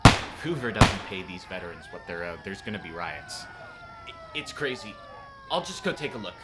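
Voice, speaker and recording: A man's voice: sits low, sing-song, normal speed, background noise. A man acting an earnest, lonely policeman in a radio play. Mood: agitated. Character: earnest lonely policeman, grieving his dead fiancée.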